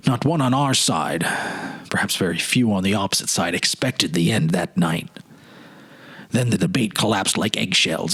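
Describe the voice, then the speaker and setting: A man playing a weary, haunted politician of forty in a storybook reading, confessing his regrets in a halting, sorrowful, dramatic way. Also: low-pitched, husky, exaggerated voice, rough recording. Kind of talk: dramatic literary audiobook reading.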